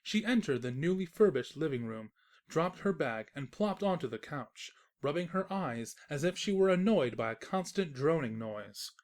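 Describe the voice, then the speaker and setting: A woman with a low voice narrates a comic story. Her intonation is lively and wry, and music plays behind her. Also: normal speed. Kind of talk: scripted comedic storybook narration.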